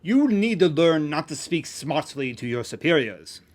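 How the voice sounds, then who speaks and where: A man's voice: on the high side, sing-song, a little slow. A man voicing a vain, boastful knight in an amateur fantasy story reading, with haughty, formal speech. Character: pompous, boastful male knight, theatrical and vain.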